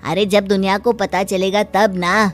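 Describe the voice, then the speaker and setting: A woman's voice: medium pitch, little pitch movement, unhurried, faint music behind, natural voice. A woman voicing a young groom in a story, polite, confiding and persuasive.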